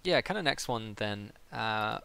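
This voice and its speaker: A man's voice: fairly low pitch, animated, measured pace, vocal fry, bright, forceful tone, clear, resonant tone, no room sound. A man hosting a casual live-stream interview, asking his questions in an informal, loosely phrased way.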